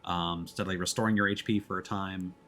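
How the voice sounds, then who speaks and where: Low-voiced man streaming a video game. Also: fairly even intonation, a bit bright, slight background noise. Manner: halting, explanatory. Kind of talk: casual let's-play stream commentary. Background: background music.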